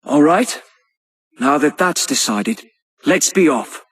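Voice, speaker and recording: A man's voice: fairly high pitch, expressive, slow, breathy edge, heavily acted. A man playing an earnest, modest young nobleman in a video game, speaking formally and courteously. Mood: resolute. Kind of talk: dramatic video game voice acting.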